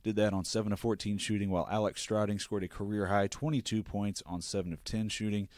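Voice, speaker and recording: Young male campus sports host, low voice, brisk upbeat read, dry mic. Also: level tone, darkish tone, dry recording. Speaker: podcast host. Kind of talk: sports recap and interview.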